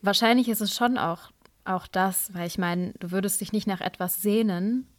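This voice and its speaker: A woman's voice: mid-range voice, normal speed, dry recording. Thoughtful woman podcast host, dry. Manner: thoughtful, musing, filler-heavy. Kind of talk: casual podcast discussion.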